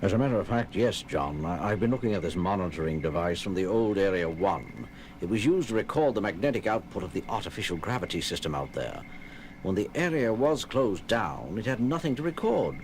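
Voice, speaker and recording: An actor playing an earnest moonbase officer and scientist, reporting grave danger in an emphatic, deliberate way for a record drama. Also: male voice, low-pitched, expressive, slight background noise. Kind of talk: 1970s record audio drama acting.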